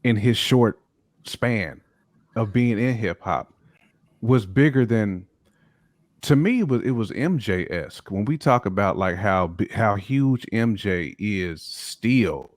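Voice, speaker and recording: A man's voice: lowish voice, animated, takes it slowly, no room sound, clean studio sound. A man co-hosting a casual hip hop discussion, speaking emphatically and repeating phrases to build his points. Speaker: podcast co-host.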